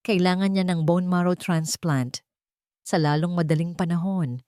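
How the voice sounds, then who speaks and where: A woman voicing a serious hospital doctor in a storybook reading, grave and measured. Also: on the low side, steady tone, dark tone, clear, resonant tone, dry recording, studio quality, close to an everyday voice. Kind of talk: scripted narration.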